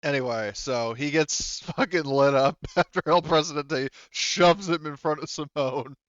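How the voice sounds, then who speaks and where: A man acting as game master, narrating a tabletop roleplaying game in a casual, halting, sweary way. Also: a little high, a bit bright, clean tone, dry recording, lo-fi sound.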